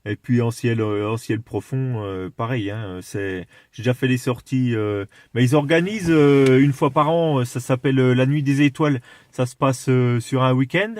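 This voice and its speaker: A man in a casual radio chat, explaining things with enthusiasm while haltingly searching for his words. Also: mid-range voice, darkish tone, no echo. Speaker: amateur radio operator.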